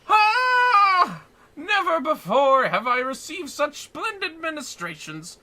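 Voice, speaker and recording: A streamer voicing a pompous, exuberant gentleman inspector in a theatrical, grandiose manner for a video game, played as a man. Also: very high voice, sing-song, slow, voice pushed up, full character voice. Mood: ecstatic. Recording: music behind the voice.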